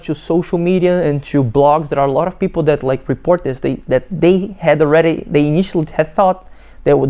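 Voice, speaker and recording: A young man from Brazil with a Brazilian Portuguese accent, a PhD student giving a halting testimonial full of fillers and restarts. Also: a little high, warm tone. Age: young adult. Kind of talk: testimonial interview.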